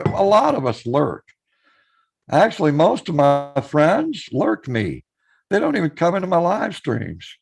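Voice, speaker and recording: A man with a hoarse voice, chatting casually on his live stream, greeting viewers by name and reading out their messages. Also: fairly high pitch.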